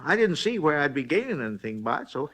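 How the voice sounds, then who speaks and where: An actor playing a folksy, talkative hotel night clerk in a 1950s radio drama, rambling along in plain, ungrammatical speech. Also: male voice, fairly high pitch, sing-song, quick pace, fairly bright tone. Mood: chatty. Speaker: robbery witness.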